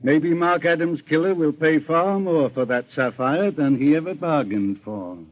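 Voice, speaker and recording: An American man playing a composed, methodical private investigator in an old-time radio drama, speaking in a measured, formal way. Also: a little high, over music, lightly acted. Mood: confident, deliberate. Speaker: radio actor. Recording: dull vintage radio recording.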